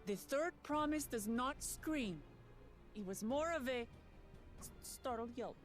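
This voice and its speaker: An actress voicing a spirited young warrior-princess in a video game, speaking in an earnest, plainspoken way. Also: female voice, high voice, animated, measured pace, soft background music. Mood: indignant.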